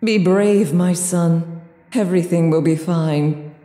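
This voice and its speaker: A woman's voice: fairly low pitch, slow pace, dark tone. A woman playing an anxious, loving mother in a horror audio drama, tender and earnest. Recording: echoey hall with music behind.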